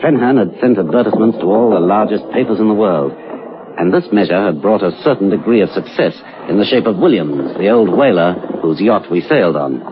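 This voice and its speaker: An actor playing a grave, steady man who recounts a horror at sea in a measured, sombre radio drama style. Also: on the low side, close to an everyday voice. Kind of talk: dramatic first-person scripted narration. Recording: dull, noisy old radio recording.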